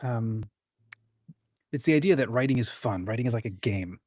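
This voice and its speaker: A man with a mid-pitched voice hosts a relaxed livestream chat. He talks informally and rambles along with enthusiasm, and the sound is dry, with very little room in it. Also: moderate pace, dry recording. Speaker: host of a writing community livestream.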